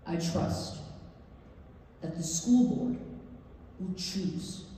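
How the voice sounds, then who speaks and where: Woman acting as an earnest urgent activist giving a public speech, deliberate and emphatic, really echoey hall, mic far off. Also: on the low side, expressive, warm tone. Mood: solemn pleading. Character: earnest, urgent woman pleading at a school board. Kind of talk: staged reading of a public comment speech. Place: library district theatre stage. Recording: echoey hall, distant mic, faint noise.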